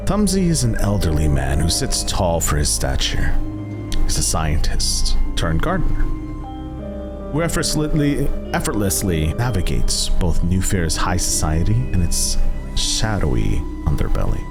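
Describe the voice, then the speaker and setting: A man runs a tabletop game with cinematic narration. His voice is low and lively in inflection, taking its time over dramatic phrases while loud music plays behind. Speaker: game master.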